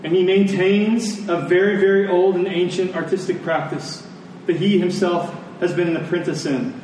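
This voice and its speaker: High-voiced male preacher in an echoey room. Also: fairly even intonation, darkish tone. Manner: conversational, deliberate, with pauses and repeated phrases for emphasis. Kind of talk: sermon with storytelling and scripture exposition. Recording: echoey room, distant mic, faint noise.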